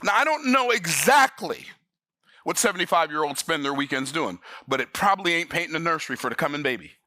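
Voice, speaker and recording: A man's voice: on the high side, lively intonation, fairly quick, bright, forceful tone, no echo, studio quality. A man in his sixties teaching the Bible in an emphatic, folksy preaching manner. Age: 60s. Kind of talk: sermon-style Bible study.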